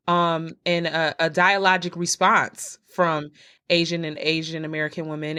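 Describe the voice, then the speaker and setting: A woman with a slightly low voice hosts a podcast and introduces her guests. She talks in an earnest, unscripted way. She stops often to think before she goes on. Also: a bit bright. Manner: earnest, thinks aloud with frequent pauses. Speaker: podcast host. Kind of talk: casual spoken introduction.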